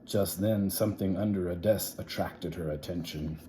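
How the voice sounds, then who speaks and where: A man's voice: sits low, normal speed, warm tone, a little hiss or hum. A man reading a children's story aloud in a theatrical, playful way, with asides to his listeners. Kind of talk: animated children's read-aloud.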